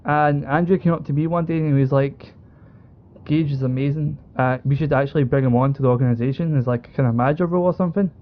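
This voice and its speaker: A man's voice: a little high, fast. A young British man telling a story casually as an esports co-owner, with informal, enthusiastic, repetitive wording over an online call. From United Kingdom. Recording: dull lo-fi voice call.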